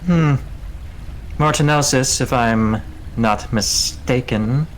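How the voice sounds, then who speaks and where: Man voicing a slow, courtly sewer ratman cultist. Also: mid-range voice, slight background noise, lightly acted. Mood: self-assured, musing. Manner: formal, measured, deliberate phrasing. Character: courtly, self-assured ratman plague cultist living in sewers.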